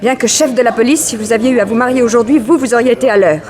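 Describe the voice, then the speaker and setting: An actress playing a proud, indignant young jilted bride, speaking articulately in a 1960s French radio drama. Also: female voice, fairly high pitch, rapid-fire, a little mellow, natural voice. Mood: offended resolve. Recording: crowd murmur behind. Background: crowd.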